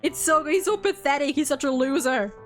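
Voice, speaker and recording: A woman hosting a stream, chatting casually and gushing in an animated, blunt, sweary way. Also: high voice, a little fast, faint music behind. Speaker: host of a game ranking stream.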